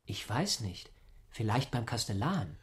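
A man's voice: mid-range voice, sing-song, measured pace. An actor playing a subordinate male helper, plain-spoken and discouraging, in a literary radio drama. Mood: doubtful. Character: Jeremias, subordinate male helper, matter-of-fact and doubting.

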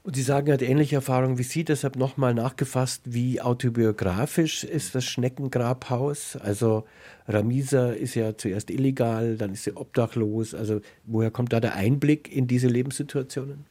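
A man's voice: mid-range voice, steady tone, moderate pace, warm tone. A man asking precise, probing questions as a radio interviewer.

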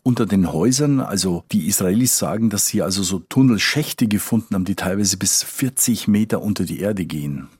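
Low, husky-voiced man explaining. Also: lively intonation, a little fast, few pauses, darkish tone, no echo, studio quality. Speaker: foreign correspondent interviewed as expert. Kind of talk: conversational explanatory interview.

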